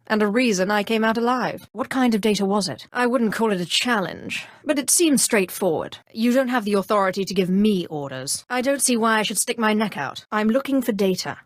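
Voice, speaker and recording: An actress playing a haughty, cold, ambitious Sith Inquisitor in a video game, with a clipped, imperious and threatening way of talking. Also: female voice, mid-range voice, no echo, studio quality, close to an everyday voice. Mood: cold menace, then haughty impatience. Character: haughty, menacing female Sith Inquisitor, ambitious former slave. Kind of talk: video game character dialogue.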